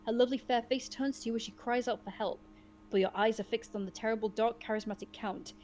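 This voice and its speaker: High voiced woman running a choose your own adventure, dramatic storytelling, chatty asides. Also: steady tone, fast, darkish tone, faint music behind. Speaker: game reader and host. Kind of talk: reading a gamebook aloud to friends.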